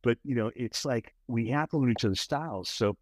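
A man co-hosting a casual podcast chat, talking in an animated, expressive way with a big voice, often checking in with "right?". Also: medium pitch, brisk, no room sound. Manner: many restarts and repeated "right?". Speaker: podcast co-host.

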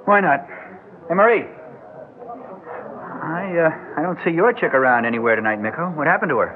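An actor playing a wisecracking, easygoing hipster guitarist with slangy beatnik talk in a 1960s radio drama. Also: male voice, a little high, expressive, fairly quick, phone-quality sound, close to an everyday voice. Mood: needling, laid-back. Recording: thin, faintly noisy old radio recording.

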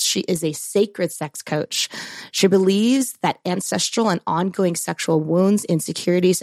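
A woman's voice: fairly low pitch, gravelly, darkish tone, no echo. A woman co-hosting a podcast with a smoky voice, introducing a guest and asking questions in an upbeat, casual way. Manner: upbeat, friendly, fillers and restarts. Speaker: podcast co-host.

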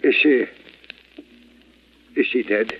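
An actor playing a timid, deferential male servant in an old radio drama, hesitant and husky. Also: mid-range voice, slow, a little hiss or hum, lightly acted. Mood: flustered, anxious. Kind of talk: 1940s radio drama acting. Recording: dull vintage radio recording.

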